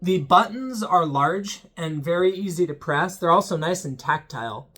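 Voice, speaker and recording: A man's voice: high voice, animated, normal speed, sharp tone. A young man hosting his own channel, giving a casual, earnest product review in a plain-spoken way with frequent ums. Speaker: channel host reviewing a weather radio.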